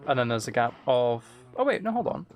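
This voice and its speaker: A man's voice: medium pitch, sing-song, fairly quick, a little hiss or hum. A man hosting a casual game livestream, muttering to himself as he plays, repeating phrases and chatting with viewers. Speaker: livestream host. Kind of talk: casual game stream chat, reading and answering viewer messages.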